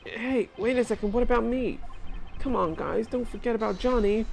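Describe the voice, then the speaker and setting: A woman voicing an excitable, attention-seeking male witness who talks in an eager, pushy way. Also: on the high side, normal speed, vocal fry, faint music behind, pitched above the performer's own voice.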